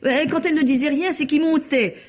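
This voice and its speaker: A woman playing a chatty, squeamish housekeeper in a French radio mystery play, with a husky voice and folksy, exclamatory, hesitant talk. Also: sits high, fairly quick, close to an everyday voice. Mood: chatty, frank. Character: chatty, squeamish, plain-spoken female housekeeper. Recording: dull lo-fi radio recording.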